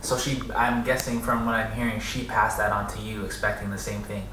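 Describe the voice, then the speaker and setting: A man's voice: fairly low pitch, steady tone, brisk, pushed and bright, echoey room, slight background noise, rough recording. A man hosting a casual interview, asking curious follow-up questions and nodding along with short affirmations. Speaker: host and interviewer.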